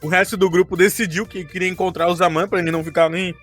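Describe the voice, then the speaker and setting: Casting: Brazilian man, slightly high voice, casual and jokey game-recap podcaster, light music bed underneath. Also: flat delivery, fairly bright tone. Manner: joking, quick to laugh. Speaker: game master of a tabletop RPG campaign, podcast member.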